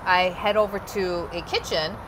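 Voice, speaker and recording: A woman's voice: on the low side, steady tone, normal speed. A young woman hosting an interview, bubbly and laughing often as she chats. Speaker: host. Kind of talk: casual interview chat. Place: high-rise room with big windows. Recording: noticeable background noise.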